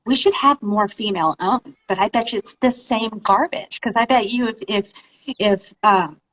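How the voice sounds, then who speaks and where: A woman chatting in a casual, animated way on a livestream, calling herself nosy, speaking over a video call. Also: medium pitch, moderate pace, poor audio. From Washington State, US. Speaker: co-host.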